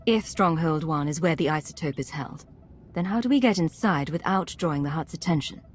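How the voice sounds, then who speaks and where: A woman playing a composed, resolute Imperial agent commander in a video game, speaking in a measured, firm manner. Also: sits low, slight background noise, close to an everyday voice.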